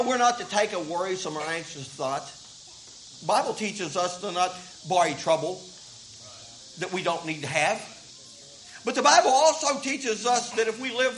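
A man's voice: very high voice, pushed and bright, low-quality recording. A man, preaching a sermon in a folksy way that repeats words as he starts thoughts. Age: 50s. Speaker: pastor.

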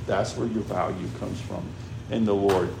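A man's voice: deep voice, fairly even intonation, normal speed, vocal fry. A man preaching a sermon in a conversational way, often checking in with "all right?" and "you know". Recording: echoey room with background noise.

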